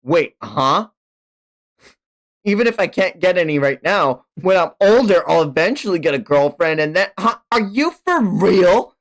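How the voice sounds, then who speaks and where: A man voicing a brash, crude, excitable teenage boy with a chainsaw head from a manga. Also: high voice, a little slow, pitched above the performer's own voice. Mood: earnest, indignant.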